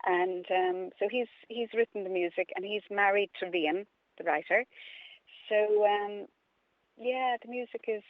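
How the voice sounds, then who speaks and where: A woman's voice: medium pitch, unhurried, no echo. Irish woman, chatty, on dull narrow audio. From Limerick, Ireland. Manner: playful, chatty, rambling. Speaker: actor, interview guest. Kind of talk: casual conversational interview.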